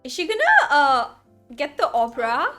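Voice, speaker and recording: A high-pitched woman gives a casual live reaction to a game. Her delivery is chatty and very lively, with faint music underneath. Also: moderate pace. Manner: informal, chatty interjections. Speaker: streamer.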